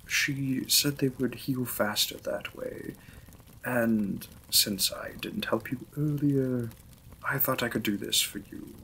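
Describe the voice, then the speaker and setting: A man playing a gentle, apologetic Victorian vampire lord in a romantic audio roleplay, speaking formally and tenderly as a courteous new husband. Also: medium pitch, takes it slowly, slight background noise, poor audio. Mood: tender, affectionate.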